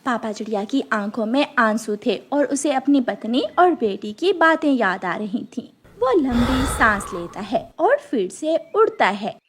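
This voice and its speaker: A woman's voice: a little high, sing-song, unhurried, hardly any pauses, background noise. A woman narrating a children's cartoon story as a scripted storyteller.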